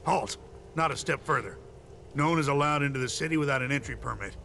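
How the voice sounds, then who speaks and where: An actor playing an officious, dutiful city gate guard in a video game, formal and earnest as if reciting rules. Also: male voice, mid-range voice, fast talker, creaky, bright, forceful tone, a little hiss or hum. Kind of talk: video game character dialogue.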